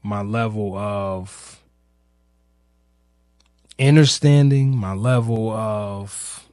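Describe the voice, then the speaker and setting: Male podcast host, medium pitch, slow and searching delivery, informal reflective monologue, very dry close sound. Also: darkish tone, dry recording. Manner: halting, searching, with long pauses and repeated phrases; informal, rhetorical questioning. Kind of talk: reflective personal monologue about grief.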